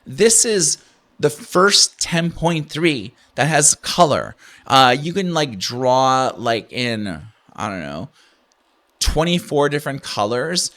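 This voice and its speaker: Canadian dude reviewing gadgets off the cuff, average pitch, rambles and stumbles, lots of slang. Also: male voice, fairly bright tone. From Ontario, Canada. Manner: slangy, rambling, halting delivery. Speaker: podcast host and product reviewer. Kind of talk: casual unscripted tech commentary.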